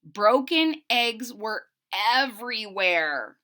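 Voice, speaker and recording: A woman storyteller reading a picture book to children, deliberate and expressive, with simple explanations. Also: on the high side. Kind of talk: expressive read-aloud with simple recaps.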